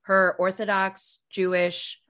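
A woman's voice: mid-range voice, completely flat delivery, slow pace, a bit bright. A woman of about forty co-hosting a casual reality TV recap, gossipy and prone to rambling tangents. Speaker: podcast co-host.

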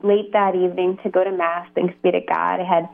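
A woman's voice: fairly low pitch, little pitch movement, fairly quick, vocal fry. A woman, a religious sister, telling her story as a guest in a chatty, upbeat way. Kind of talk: radio interview, phoned in. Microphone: phone. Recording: tinny phone line.

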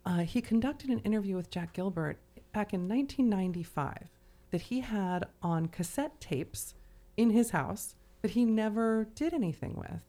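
A woman's voice: medium pitch, lively intonation, normal speed, mellow. A woman hosting a podcast, discussing literature casually in a conversational way peppered with "like" and "you know". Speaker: podcast host.

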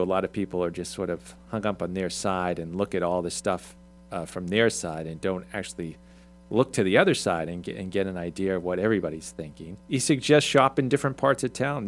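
Low-voiced, halting male talk host. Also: a little hiss or hum. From Irish, Swedish ancestry mentioned. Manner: hesitant, many ums and restarts. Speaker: radio show host. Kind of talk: casual talk show discussion.

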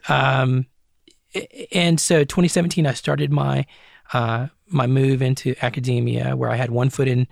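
Gravelly, measured American man in interview. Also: mid-range voice, steady tone, dry recording, studio quality. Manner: measured, restarts phrases, tags with "right?". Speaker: guest, advertising strategist and academic. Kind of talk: interview answers.

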